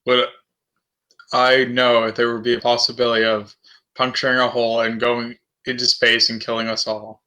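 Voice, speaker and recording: Man, mid-range pitch, bright crisp tone, casual tabletop player chat. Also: monotone, moderate pace. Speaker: player in a tabletop roleplaying game.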